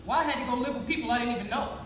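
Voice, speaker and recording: An actress playing a wounded, frank, streetwise homeless young woman in a plainspoken, profane stage monologue with dramatic pauses. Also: fairly high pitch, fairly bright tone, natural voice. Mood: grieving, pained. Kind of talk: theatrical monologue in a one-act stage play. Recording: echoey hall, far-off mic, background noise.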